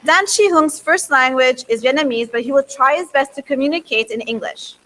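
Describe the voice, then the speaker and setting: A woman's voice: high voice, a little fast, a bit bright, a little hiss or hum, poor audio. A woman hosting a conference who introduces speakers in hesitant, stop-start speech full of fillers. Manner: hesitant, many fillers and restarts. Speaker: event host. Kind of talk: bilingual event introduction. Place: conference room.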